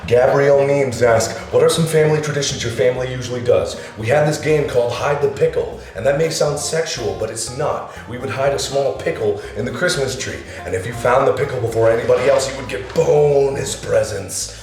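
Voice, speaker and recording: A man in his twenties hosting a joking vlog, hoarse and gravelly from being sick, talking loosely with loud bursts. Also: mid-range voice, brisk, hardly any pauses. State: hoarse from illness. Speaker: YouTube host. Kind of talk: casual comedic vlog. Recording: echoey room.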